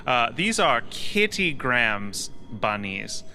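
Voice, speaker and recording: A man playing a polite, anxious game character who speaks in a gentle, coaxing, hesitant way. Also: mid-range voice, very animated, slow, a little hiss or hum, heavily acted. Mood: nervous coaxing. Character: polite, anxious negotiator coaxing a big creature.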